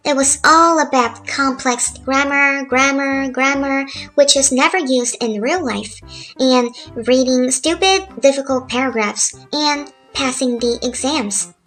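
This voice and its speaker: A high-pitched woman in her thirties with a Korean accent talks through a relaxed, scripted lesson. She speaks in an easy, chatty, laid-back way. Soft music plays quietly behind her. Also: unhurried, rough recording. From South Korea. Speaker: language tutor. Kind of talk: relaxed scripted narration over drawings. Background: faint music.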